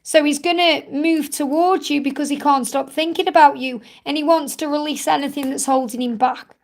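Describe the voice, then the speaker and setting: A woman with a croaky, tired voice hosting a live card-reading stream, soothing and reassuring, repeating her phrases. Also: high voice, steady tone, normal speed. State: croaky, tired voice from much talking. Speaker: tarot card reader and live-stream host.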